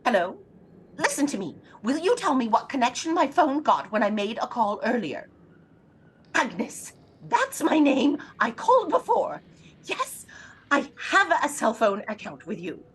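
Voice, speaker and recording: High-pitched, frantic invalid wife. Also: female voice, sing-song, slightly rough, exaggerated voice. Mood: agitated, anxious. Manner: fretful, insistent, imperious, halting. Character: anxious, demanding bedridden invalid wife, increasingly frantic. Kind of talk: suspense audio drama acting.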